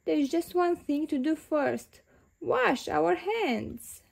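A woman voicing a motherly, orderly lady rabbit in a storybook, gently instructive. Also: high voice, lively intonation, slow, vocal fry, pitched above the performer's own voice, full character voice.

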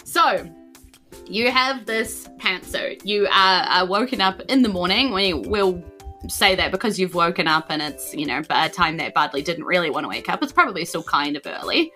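Kiwi woman game mastering, bright giggly chatty voice, bit of music underneath. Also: on the low side, animated, moderate pace. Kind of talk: casual tabletop game narration. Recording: faint music behind the voice. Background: faint background music.

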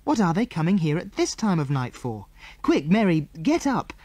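A plucky young girl from a children's storybook, played by a male reader. She is earnest, quick-witted and defiant, with a high, lively pitch in a dry recording. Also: no echo. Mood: urgent. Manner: earnest, exclamatory. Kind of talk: children's audiobook character.

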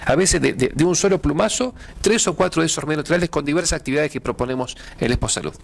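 Argentine man, halting and filler-heavy. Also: fairly high pitch, vocal fry. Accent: Argentine Spanish. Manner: hesitant, many fillers and repeated words; gestures with hands while explaining. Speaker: pastor and event organizer. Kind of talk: TV studio interview. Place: TV studio with dark curtains.

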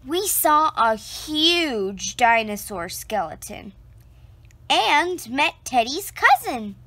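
A woman reading a children's picture book aloud, slow and expressive, close to the camera indoors. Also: dry recording. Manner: slow, expressive storytelling with lively pitch.

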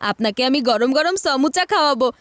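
A woman's voice: high voice, expressive, fast talker, background noise. A woman voicing a mischievous, gloating little brother in a children's cartoon, sly and theatrical. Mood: sweetly sly. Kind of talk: dubbed children's cartoon acting.

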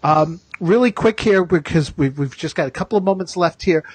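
A man's voice: on the high side, husky. A man hosting a casual fan discussion, speaking emphatically and opinionatedly, often doubling back on his phrases. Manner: emphatic, halting with repeated phrases. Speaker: podcast host.

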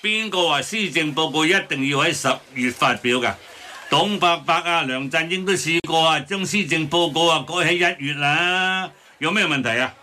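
Cheeky cafe boss, contrarian, very sharp highish voice, Hong Kong Cantonese, radio drama. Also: male voice, normal speed. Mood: sardonic. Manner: teasing, rhetorical questioning.

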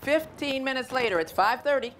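A woman's voice: high voice, lively intonation, brisk, fairly bright tone. A middle-aged American woman reading a picture book to children, conversational, explaining and checking with her listeners. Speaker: storyteller. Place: outdoors in a garden. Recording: clear outdoor ambient noise.

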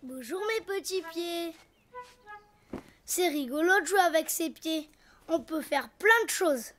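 High, chirpy little donkey boy. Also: lively intonation, unhurried, a bit bright, faint music behind, full character voice. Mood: playful, self-amused. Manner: playful, self-narrating, exclaiming. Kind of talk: French preschool cartoon voice acting.

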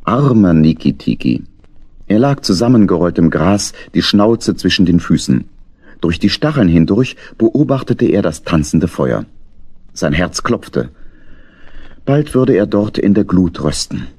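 Deep husky male storyteller. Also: sing-song, a little slow, warm tone, no room sound. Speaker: narrator. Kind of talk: dramatic children's story narration.

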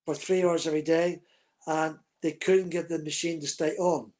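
Husky man telling a long story. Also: fairly high pitch, steady tone, moderate pace, a little mellow. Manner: vivid, detailed storyteller, talks with his hands. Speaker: guest, former racing driver. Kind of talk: live video-call interview, storytelling. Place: room at home, indoors. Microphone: webcam on a video call.

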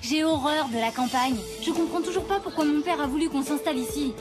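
A woman's voice: sits high, fairly quick, over music, close to an everyday voice. A woman voicing a sulky, sarcastic young girl in a children's cartoon, grumbling grumpily. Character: sulky young girl who hates the countryside. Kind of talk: animated children's cartoon acting.

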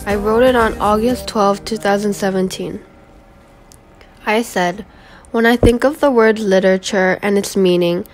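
A fifteen-year-old girl from Guam reading her autobiography aloud as a school presentation, in an earnest, steady voice. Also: mid-range voice. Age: teenager, 15. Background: background music.

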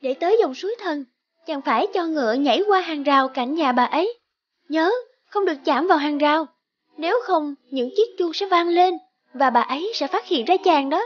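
A woman voicing a kind, brave young peasant girl in a cartoon fairy tale, earnest and gentle. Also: sits high, expressive, normal speed, lo-fi sound. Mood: cautioning.

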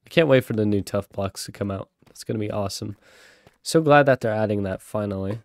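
A man's voice: fairly low pitch, fairly quick, dry recording, clean studio sound. A young man with a stuffy, nasal voice from a cold, rambling casually through gameplay commentary. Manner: rambling, chatty, with sudden excited outbursts. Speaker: gaming channel host.

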